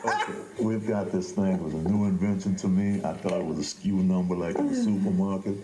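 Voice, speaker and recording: An older man talks in a very deep register with a soft, dark tone. He is a playwright telling stories in conversation. The room echoes around him, with faint noise behind. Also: fairly even intonation, brisk. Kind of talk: interview conversation, storytelling. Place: indoors at a theater.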